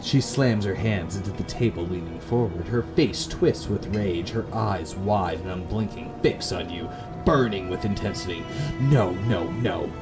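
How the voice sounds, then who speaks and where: A man's voice: sits low, normal speed, over loud music. A man from Canada reading visual novel narration aloud expressively, with casual gamer reactions. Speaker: gaming video host.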